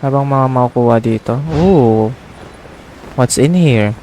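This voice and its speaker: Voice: mid-range voice, unhurried, mellow, a little hiss or hum, low-quality recording. A game streamer and native Filipino speaker, giving casual off-the-cuff commentary while playing. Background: game music.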